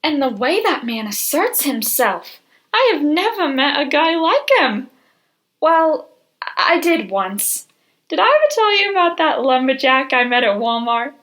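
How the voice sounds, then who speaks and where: Woman playing high-pitched, smitten gossip. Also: sing-song, a little slow, fairly bright tone, heavily acted. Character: dreamy, smitten female gossip telling tall tales. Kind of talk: radio drama acting.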